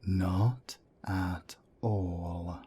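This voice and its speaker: A man playing a wry, world-weary werewolf council elder in an audio roleplay drama, speaking in a measured, deliberate way. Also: fairly even intonation, warm tone, exaggerated voice. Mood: grave, instructive. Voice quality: deep, husky.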